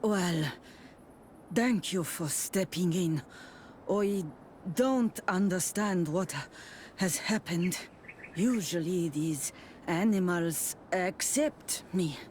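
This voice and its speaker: A woman's voice: fairly low pitch, slow pace, pauses often, a little mellow, a little hiss or hum. An actress playing a shaken, gracious lady in a video game. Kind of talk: video game cutscene acting.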